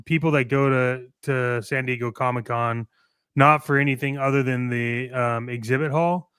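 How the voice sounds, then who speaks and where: An American man co-hosting a toy show, chatting casually and giving opinions in a measured, hesitant way. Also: mid-range voice, fairly even intonation, fairly bright tone, smooth, dry recording, clean studio sound. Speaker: co-host of a toy collecting show. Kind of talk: casual radio-show chat.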